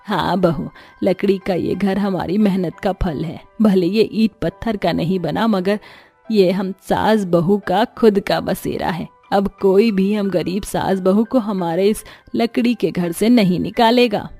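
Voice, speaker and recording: A woman's voice: medium pitch, a little slow, mellow, soft background music. A woman voicing a poor older mother-in-law with a grumbling, homely manner. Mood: content. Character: poor old mother-in-law, stubborn then grateful.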